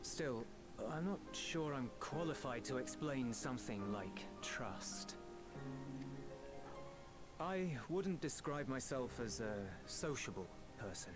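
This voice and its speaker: A young soldier in a fantasy game, thoughtful and loyal. His mid-range voice is measured and earnest, reflecting humbly over clearly audible music. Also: medium pitch, expressive. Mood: earnest, reflective. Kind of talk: video game voice acting.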